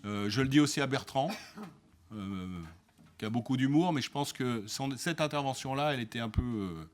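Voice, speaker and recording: A man's voice: medium pitch, moderate pace. A man, a local elected official, speaking in a council debate in a combative, sarcastic and indignant manner, emphatic and often restarting his phrases.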